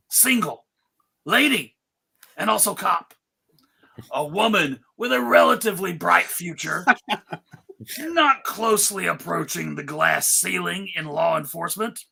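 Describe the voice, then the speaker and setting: A man's voice: sits high, creaky, sharp tone, slightly rough. A man hosting and narrating a table read in a playful, mock-formal, emphatic way. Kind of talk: playful, theatrical presenting.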